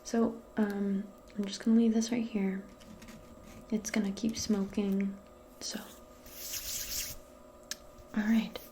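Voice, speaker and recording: A woman speaking softly and breathily, often near a whisper, in a gentle, casual ASMR role play aimed closely at the listener. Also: medium pitch, level tone, slow, long pauses, slight background noise. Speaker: ASMR performer role-playing as a modern witch. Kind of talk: soft-spoken ASMR role play, personal attention.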